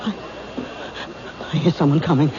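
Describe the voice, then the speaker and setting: A woman's voice: sits low, steady tone, moderate pace, close to an everyday voice. An actress playing a nervous, stammering middle-aged cleaning woman in a radio drama. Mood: frightened. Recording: noisy, muffled vintage radio broadcast.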